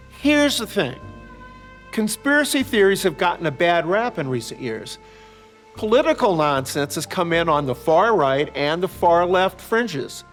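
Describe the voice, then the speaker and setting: Higher-pitched older American man, music behind. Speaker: author and series host discussing his novels. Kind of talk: reflective explanatory commentary, semi-scripted narration.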